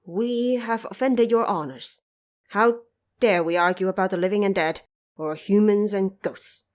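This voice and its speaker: A woman's voice: mid-range voice, unhurried, close to an everyday voice. A dignified male emperor , as a woman narrator plays him speaks with humility and restraint. The delivery is formal and regal, in the manner of a storybook audio drama. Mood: humble. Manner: formal, restrained, uses royal we. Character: humble, dignified male emperor defending himself.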